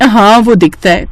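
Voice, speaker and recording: A woman's voice: on the high side, husky, no echo, full character voice. A woman voicing a sweet, shy and anxious young woman in a family story. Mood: playful.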